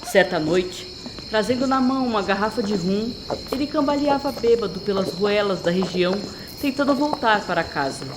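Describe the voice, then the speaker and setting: A woman's voice: medium pitch, lively intonation, moderate pace, noisy background. A woman narrator reading a scripted story in a dramatic storytelling style.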